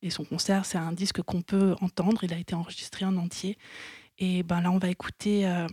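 A woman's voice: fairly low pitch, little pitch movement, a little fast, a little mellow, no echo, clean studio sound. A woman co-hosting a music radio show in French, telling stories casually with frequent fillers and restarts. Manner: chatty, laughs easily, many fillers.

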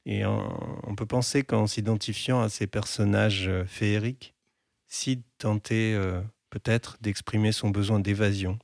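A man hosting a music radio show in French, chatting casually with a co-host and pausing often with fillers. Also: on the low side, creaky, dry recording. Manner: relaxed, hesitant, many pauses and fillers.

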